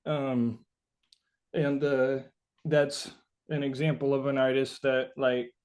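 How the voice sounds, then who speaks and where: A man being interviewed as a musician, answering casually and haltingly, thinking aloud and often saying "like". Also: medium pitch, little pitch movement, a little mellow.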